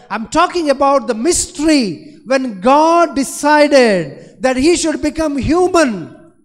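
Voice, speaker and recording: A Franciscan priest in his forties preaches with a strikingly high voice, pressing his points with slow stresses and repeated phrases. He speaks into a stand mic. His words ring out with the long reverb of a large church. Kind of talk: sermon.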